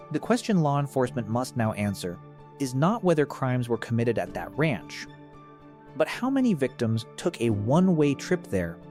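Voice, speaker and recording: A man's voice: mid-range voice, a little mellow. A man reading scripted documentary-style narration in a grave, dramatic manner.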